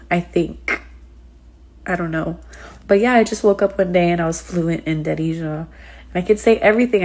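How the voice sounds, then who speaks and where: A woman's voice: on the low side, a little mellow. A congested woman from the United States chatting casually on a live stream, rambling with lots of "like" and "um". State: congested, sick with sinus trouble. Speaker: streamer answering chat.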